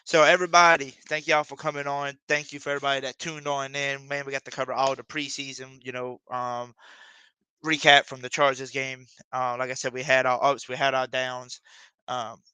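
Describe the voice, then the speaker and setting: A man closes out his sports podcast in a relaxed, drawling talk full of fillers. His voice is bright and clean, held to a flat, even pitch, and it sounds close and dry with no room around it. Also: brisk, clear, resonant tone, no room sound. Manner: laid-back, drawling, with many fillers. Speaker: podcast host. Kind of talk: casual sign-off to viewers.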